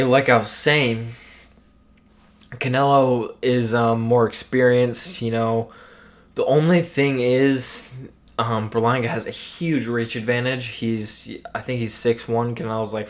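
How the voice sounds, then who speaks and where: A young man casually giving his boxing fight prediction, rambling with many fillers while his voice keeps cracking. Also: mid-range voice. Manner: rambling, many ums and you knows. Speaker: boxing commentator. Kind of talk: casual solo prediction talk.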